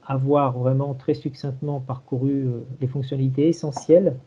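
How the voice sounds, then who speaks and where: Male technical presenter, medium pitch, halting and repetitive phrasing, dull lo-fi video-call sound. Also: flat delivery, a crowd in the background. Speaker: presenter of a software tool. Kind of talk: informal technical demonstration. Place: remote video conference.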